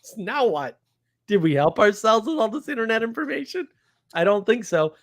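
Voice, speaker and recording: A man with a slightly high voice hosts a live stream in a casual, unscripted way. He rambles from thought to thought and states his opinions bluntly. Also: big pitch swings, normal speed.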